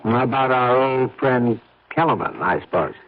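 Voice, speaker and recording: An American actor playing a hard, defiant conspirator in a radio mystery drama, talking in blunt, clipped, needling questions. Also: male voice, medium pitch, animated, normal speed, natural voice. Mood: cool, dismissive. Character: hard, defiant male conspirator covering up a killing. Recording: muffled old-time radio recording.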